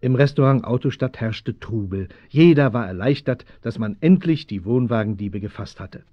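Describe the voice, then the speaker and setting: An actor playing the composed narrator of a German children's adventure radio play, reading scripted narration. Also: male voice, mid-range voice, expressive, normal speed, dry recording, lightly acted. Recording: dull, dry 1970s audio drama recording.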